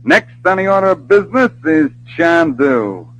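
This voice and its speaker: An actor playing a sardonic, domineering gangster who speaks in mocking slang, in an old radio drama. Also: male voice, fairly high pitch, sing-song, moderate pace, fairly bright tone, rough recording. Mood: commanding, mocking. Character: menacing, sardonic gangster boss who bullies his partner. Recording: old radio broadcast recording.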